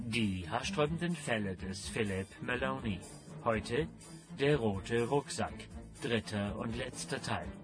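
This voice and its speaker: A man's voice: mid-range voice, animated, measured pace. A man reading a scripted announcement and story recap as a radio drama announcer. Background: music.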